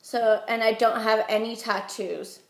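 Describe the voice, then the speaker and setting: A young woman with an American accent speaks in a higher-pitched voice, slightly under the weather with a head cold. She answers interview questions in a chatty, halting way. The recording has a clear roomy echo. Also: fairly even intonation. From Utah, United States. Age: young adult. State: getting over a head cold. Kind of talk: informal phone interview answers.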